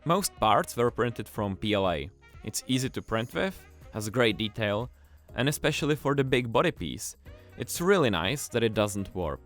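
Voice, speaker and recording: Male tutorial narrator, mid-pitched and animated, scripted and enthusiastic, faint music underneath. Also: normal speed, few pauses. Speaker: tutorial presenter.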